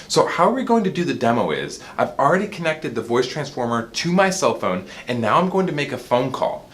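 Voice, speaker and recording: A man's voice: mid-range voice, very animated, fairly quick, fairly bright tone. A man giving an informal, explanatory product demonstration as the presenter of a review.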